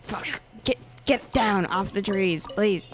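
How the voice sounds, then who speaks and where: A woman's voice: medium pitch, takes it slowly. A young woman streamer reacting to a game with jokes, swearing and frequent laughter. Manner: joking, swearing, laughs often. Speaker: streamer, gameplay commentator. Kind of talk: casual reaction commentary. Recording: voice with faint game music behind.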